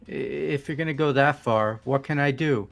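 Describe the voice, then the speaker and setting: A man's voice: medium pitch, normal speed, vocal fry, faint music behind, natural voice. A man voicing a flustered, easygoing young man who gives in easily, in a fan-voiced visual novel game. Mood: flustered, resigned.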